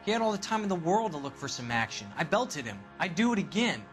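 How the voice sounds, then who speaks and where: An actor playing a brash, defensive young 1940s sailor under questioning in a video game, talking in blunt slang. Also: male voice, sits high, big pitch swings, fast, music in the background. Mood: indignant. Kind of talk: video game cutscene acting.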